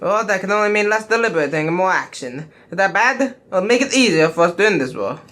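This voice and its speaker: A woman plays a young male warrior in an audio drama parody, brooding and devoted. The voice sits at an ordinary middle pitch for a woman. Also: expressive, fast, fairly bright tone, close to an everyday voice. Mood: questioning.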